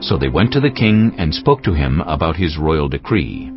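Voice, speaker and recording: Low-voiced male scripture narrator. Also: sing-song, moderate pace. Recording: muffled sound with faint music underneath.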